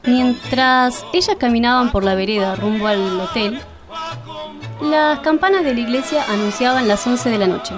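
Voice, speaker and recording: A woman's voice: on the high side, moderate pace. A woman narrates a radio drama. Her delivery is scripted storytelling, with light music underneath.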